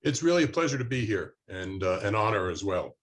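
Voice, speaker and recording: An older man, a professor, interviewing an author over a video call, talking slowly with many pauses and restarts. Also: lowish voice, big pitch swings. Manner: hesitant, many fillers and restarts. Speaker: academic interviewer. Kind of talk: conversational interview question.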